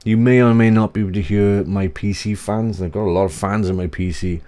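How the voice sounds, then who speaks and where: A man in his thirties with a bassy voice, giving a rambling, off-the-cuff gear review in a small home office, speaking into a desk mic on a boom arm. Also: steady tone, fairly quick, mellow. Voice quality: deep, bassy voice. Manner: rambling, self-correcting, casual. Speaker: tech reviewer. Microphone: desk USB mic on boom arm.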